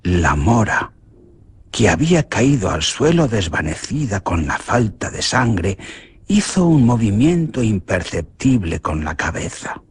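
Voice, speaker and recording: A man's voice: very animated, a little slow, slightly rough. A man narrating a radio horror drama in a theatrical, dramatic way. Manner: theatrical, with big swings in pitch. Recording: noisy radio drama recording.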